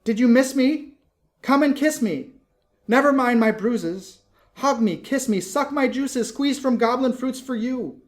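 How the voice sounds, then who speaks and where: A man's voice: very high voice, a little slow, pitched above the performer's own voice. A man voicing a fervent, exultant young woman calling to her sister in urgent exclamations, in a dramatic poetry reading.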